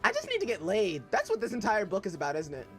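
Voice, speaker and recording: A man's voice: high-pitched, huge pitch swings, fast, fairly bright tone, faint music behind. A young man reading a novel aloud in first person, breaking off for irreverent, joking asides. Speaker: reader of a novel.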